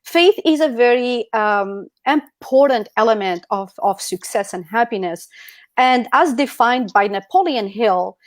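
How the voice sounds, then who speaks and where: A woman's voice: a little high, lively intonation, measured pace, no echo. Earnest woman presenting on a video call. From Algeria. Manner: earnest, with fillers like "like" and "um". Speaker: guest speaker.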